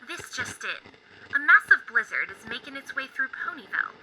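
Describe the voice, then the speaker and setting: Woman as a very bright radio news announcer. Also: high voice, big pitch swings, normal speed, a little hiss or hum, full character voice, poor audio. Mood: brisk, official. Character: brisk, chipper pony radio news announcer. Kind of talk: news bulletin.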